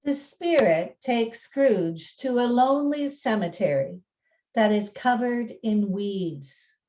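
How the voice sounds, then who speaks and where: A woman's voice: a little high, animated, slow, a little mellow. A woman co-presenting and narrating, moving between informal talk and storybook reading. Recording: roomy echo.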